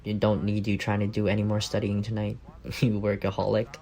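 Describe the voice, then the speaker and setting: A man's voice: lowish voice, completely flat delivery, brisk, gravelly, dark tone. A young man playing an affectionate, teasing roommate with a secret crush in a casual, intimate ASMR roleplay. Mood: playful, casual. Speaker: voice actor in audio roleplay.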